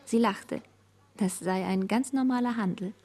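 A woman's voice: mid-range voice, a little slow, warm tone. A self-assured woman in a literary radio play. Her voice sits in the middle range with a strong husk. She relays speech as narration and stands on her dignity. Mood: matter-of-fact.

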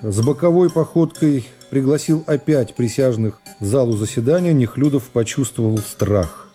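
A middle-aged man narrates literary prose as a radio play. His pitch sits in the middle and his delivery is measured and dramatic. Music plays clearly behind the voice. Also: warm tone.

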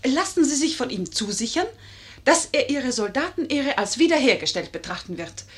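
A woman's voice: high voice, sing-song, moderate pace. An actress playing a clever, coaxing young lady in a 1950s German radio play, theatrical and persuasive. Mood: coaxing, scheming.

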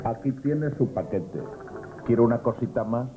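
An actor playing a flirty shop clerk in a radio comedy, trading banter in a Spanish accent. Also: male voice, mid-range voice, animated, moderate pace, close to an everyday voice. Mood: teasing. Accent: Spain. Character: flirty, teasing male grocery clerk. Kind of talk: comic radio theatre acting. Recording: noisy old radio drama tape.

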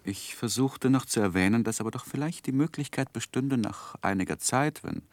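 An actor playing an earnest, polite young gentleman who tells his life story in a 1960s radio drama, with measured literary delivery. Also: mid-range voice, no echo, close to an everyday voice. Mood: reflective, narrating.

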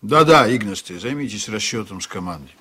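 A man acting a stern, composed ship's captain in a radio drama, speaking in a measured, commanding manner. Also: lowish voice, animated, bright, forceful tone, slight background noise. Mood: attentive, formal. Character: stern, composed Estonian ship's captain, dutiful, sometimes exasperated. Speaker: actor in a radio play.

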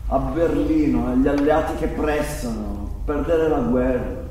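A man acting in a staged play reading as an agitated, bitter young son of an exiled Nazi, speaking in a halting, sardonic way. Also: medium pitch, warm tone, natural voice. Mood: bitter, brooding. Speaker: stage actor. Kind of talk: live staged reading of a play, theatrical monologue and dialogue. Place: theatre stage, recorded from a distance. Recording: very echoey stage, distant mic, faint noise.

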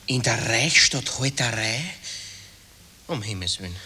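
An actor in a 1960s radio drama playing a blunt, wary army clerk who talks in folksy Austrian dialect. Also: male voice, mid-range voice, unhurried, pushed and bright. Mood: alarmed. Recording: hissy old radio tape.

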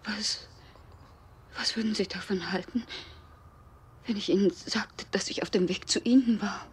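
A woman acting a desperate, frightened widow in a German radio drama, breathy and halting, often dropping into intense whispers. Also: mid-range voice, steady tone, a little hiss or hum, natural voice. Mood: guarded, hushed. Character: desperate, frightened widow fleeing after a death.

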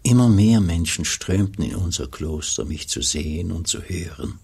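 An actor playing an old, pious, melancholy abbot in a German radio play, speaking gravely and solemnly as he looks back, with a gravelly, husky voice. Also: sits low, very animated, a little mellow, heavily acted. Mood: yearning, lonely. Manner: slow, solemn, measured storytelling.

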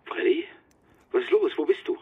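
An actor playing a tense, demanding police detective in a radio drama, urgent and commanding. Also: male voice, moderate pace, dry recording, in a whisper, narrow, tinny audio, close to an everyday voice. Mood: tense, worried. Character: urgent, forceful male police detective seeking his colleague. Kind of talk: modern German radio drama acting.

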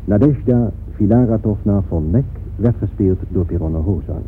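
A man with a fairly low voice announces formally from a script, in the manner of old radio. The sound is dull and narrow, with faint noise underneath. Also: moderate pace.

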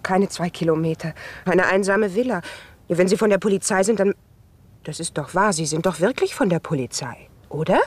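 A woman's voice: fairly low pitch, brisk, slightly rough. An actress playing a shaken, talkative woman just out of a car crash in a 1970s radio drama. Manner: breathless, talkative.

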